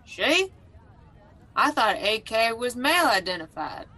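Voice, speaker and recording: A woman's voice: fairly high pitch, sing-song, unhurried, bright, forceful tone, some chatter behind, full character voice. A woman playing a brittle, crusty exhibitor in a comedy sketch, terse and grumbling. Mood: grudging.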